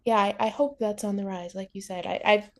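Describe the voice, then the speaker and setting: A woman's voice: mid-range voice, fairly even intonation, a little fast, darkish tone. A woman interviewing in a casual chat, informal and affirming in the way she talks. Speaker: interviewer, musician.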